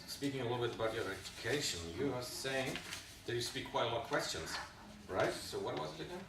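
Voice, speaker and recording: A man's voice: medium pitch, lively intonation, fast talker. A man interviewing a job applicant, polite and hesitant, with many fillers. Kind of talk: practice job interview questions. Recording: echoey room, distant mic, background noise.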